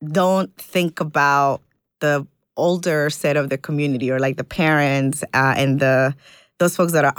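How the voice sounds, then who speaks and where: A woman from Ecuador, a community organizer, speaking earnestly and thoughtfully in an interview, pausing with fillers. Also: rumbling low, no echo, clean studio sound. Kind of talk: reflective interview conversation.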